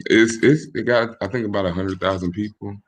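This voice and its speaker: A man in his late twenties, from Michigan, speaking as a podcast guest, relaxed and a bit hesitant with restarts. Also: fairly low pitch, brisk. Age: 20s. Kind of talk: casual interview chat.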